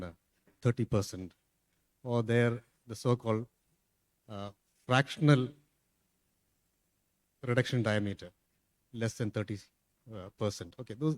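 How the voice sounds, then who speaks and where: Man explaining calmly on a panel. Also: mid-range voice. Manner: explanatory, steady, checks in with "okay". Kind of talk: conference panel discussion.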